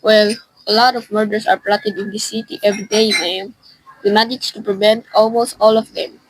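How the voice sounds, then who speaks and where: A teenage girl playing an unhurried, dismissive police desk sergeant in a radio suspense drama, offhand and patronizing. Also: medium pitch, steady tone, background noise, close to an everyday voice. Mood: placating.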